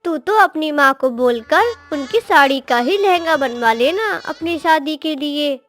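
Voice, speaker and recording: A woman's voice: high-pitched, animated, a little slow, a bit bright, faint music behind. A woman voicing a snobbish rich young crow bride in a moral-story cartoon, haughty and taunting. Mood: scornful.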